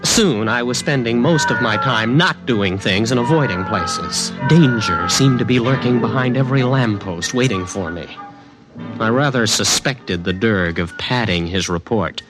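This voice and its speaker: A man's voice: on the low side, unhurried, natural voice, low-quality recording. A man playing a wry, skeptical college student. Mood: narrating. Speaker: narrator and lead. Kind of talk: 1950s radio drama acting. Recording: studio with clear background music.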